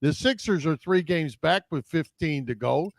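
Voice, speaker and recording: A man's voice: on the high side, expressive, moderate pace, vocal fry, dry recording. A man co-hosting a casual sports podcast, chatting about local teams.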